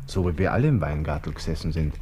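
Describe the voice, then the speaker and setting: An actor playing a melancholy, cynical young Austrian army lieutenant with a Viennese accent, murmuring wryly to himself in a radio play monologue. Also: male voice, very deep voice, lively intonation, fast, warm tone. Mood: wistful, bitter. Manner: musing to himself, murmured, wry. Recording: hissy old radio play recording.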